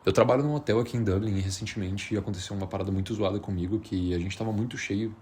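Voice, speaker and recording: A man's voice: fairly low pitch, steady tone, fast, warm tone, a little hiss or hum. A man from Minas Gerais with a Brazilian accent, chatting casually and without a script, in a slangy, hesitant, rambling way. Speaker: vlogger. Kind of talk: unscripted casual chat to camera.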